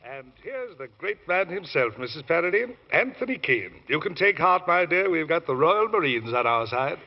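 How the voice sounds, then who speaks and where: An actor playing a fussy, reassuring older English solicitor in a proper, avuncular manner, in 1940s radio drama style. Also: male voice, fairly high pitch, very animated, normal speed. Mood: cheery, admonishing. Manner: avuncular, proper, swooping emphasis. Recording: muffled old radio recording.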